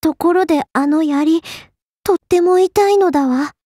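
A woman's voice: very high-pitched, normal speed, mellow, no echo, studio quality. A woman voicing a proud young goddess swordswoman in an anime game, cool and dignified but easily flustered, with aloof asides. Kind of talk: anime game character voice lines.

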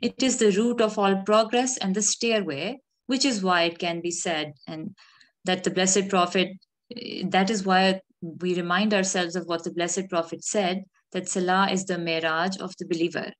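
Calm earnest woman giving a sermon. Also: lowish voice, normal speed. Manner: calm, earnest and gently reflective. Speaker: khutbah speaker. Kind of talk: measured sermon.

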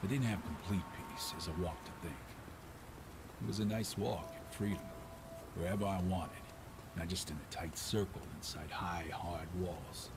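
A man playing a tough, laconic older ex-con mob enforcer in a noir game, with terse, deadpan delivery. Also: low voice, normal speed, very noisy. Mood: calm, reflective. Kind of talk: hardboiled noir voice acting with narration.

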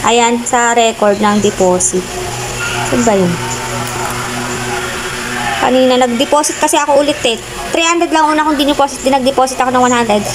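A high-voiced young woman from the Philippines talks her viewers through her phone screen in a loose, chatty way. She keeps calling them "guys" and stops often to search for words. Steady background noise sits under her voice on a phone mic. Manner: chatty, filler-heavy, addresses viewers as guys. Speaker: vlogger. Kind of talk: casual screen-recording walkthrough.

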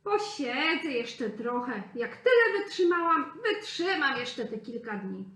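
A woman's voice: sits high, big pitch swings, unhurried, fairly bright tone, heavily acted. A woman voicing a caring mother duck who talks seriously to her young. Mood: stubborn. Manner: serious, instructive tone. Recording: echoey room.

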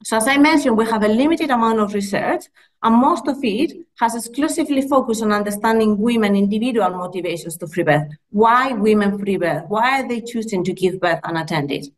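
A woman in her thirties with a Spanish accent presents research in a measured, earnest way, pausing on fillers and correcting herself as she goes. She speaks into a laptop mic on a video call from a small room. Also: mid-range voice, expressive. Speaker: midwifery lecturer presenting research. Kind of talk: conference presentation.

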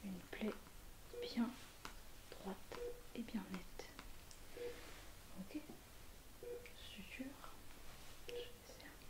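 A young woman speaking softly and breathily, mostly in a whisper, in a gentle, reassuring ASMR medical roleplay as a surgeon. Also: medium pitch, huge pitch swings, drawn-out words, long pauses. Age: young adult. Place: small indoor room at home. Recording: noisy home recording with clicks.

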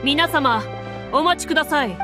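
A woman's voice: high voice, expressive, normal speed, pitched above the performer's own voice. A woman voicing a polite, deferential royal guard in a story game, formal and earnest. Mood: urgent courtesy. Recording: soft music under the voice.

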